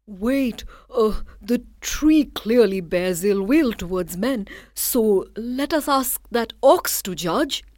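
Frightened man, hushed and pleading, voiced by a woman. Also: fairly high pitch, lively intonation, takes it slowly, few pauses, no echo, clean studio sound.

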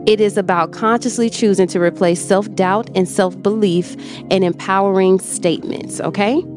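Affectionate woman host over music. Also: mid-range voice. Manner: affectionate, repeats phrases, checks in with "okay?". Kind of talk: motivational livestream talk.

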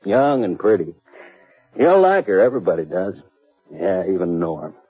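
A man's voice: low voice, animated, moderate pace, breathy edge. An American actor playing a weary, responsible cattleman and older brother in a 1950s radio western, with plain, rustic speech. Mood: bitter, troubled. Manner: rustic, folksy grammar. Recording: muffled 1950s radio broadcast, faint music.

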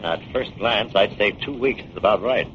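An actor playing a confident, shrewd amateur detective in a 1940s radio drama, with a wry and persuasive manner. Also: male voice, lowish voice, normal speed, lightly acted. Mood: measured, probing. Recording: muffled, noisy 1940s radio recording.

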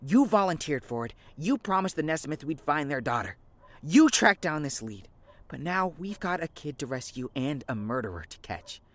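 Brash, lively fantasy adventurer played by an actor. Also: medium pitch, moderate pace, lightly acted. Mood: commanding, impatient. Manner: pushy, emphatic, indignant. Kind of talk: fantasy audio drama acting.

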